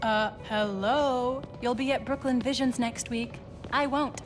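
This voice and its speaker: A woman's voice: fairly high pitch, steady tone, measured pace, over music, natural voice. An actress playing a clever, teasing teenage girl in a video game, speaking in a casual, wry, playful way. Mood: excited, wistful. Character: bright, teasing teenage girl science whiz. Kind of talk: naturalistic video game acting.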